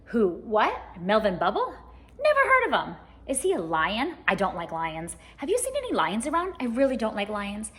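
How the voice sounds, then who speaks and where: A woman's voice: a little high, huge pitch swings, normal speed, fairly bright tone. A woman voicing a nervous talking zebra, jittery, questioning and repeating itself, in a storybook reading.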